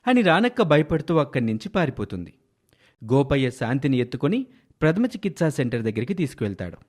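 A man's voice: medium pitch, sing-song, fast, darkish tone. A man narrating a scripted story as a storyteller.

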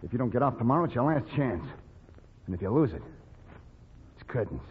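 An actor playing an urgent, hard-talking criminal accomplice in 1940s radio acting style. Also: male voice, medium pitch, big pitch swings, fairly quick, breathy edge. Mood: urgent, conspiratorial. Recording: tinny old radio, reverberant, faint noise.

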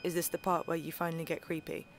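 A woman's voice: low-pitched, little pitch movement, fast, slight background noise. Blunt, sardonic woman. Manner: dry, teasing, offhand. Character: blunt, quirky, sardonic woman called Destiny. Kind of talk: naturalistic audio play acting.

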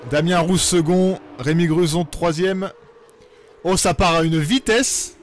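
A man giving relaxed live commentary on a sim racing event, with many hesitations and fillers. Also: sits high, unhurried, a little hiss or hum.

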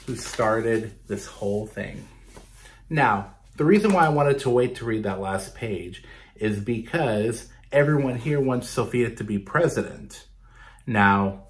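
A man in his thirties reading a picture book aloud to children in an expressive way, talking to them directly. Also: mid-range voice. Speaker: storytime reader for children. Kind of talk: animated picture-book read-aloud with asides to young viewers. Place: small bedroom at home, indoors.

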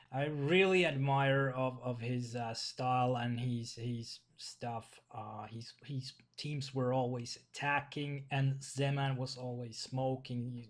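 Young Finnish-accented male vlogger, non-native English, halting delivery full of fillers, bedroom desk webcam. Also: medium pitch. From Finland. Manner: halting, repeats words, frequent fillers. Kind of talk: casual video commentary. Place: small bedroom.

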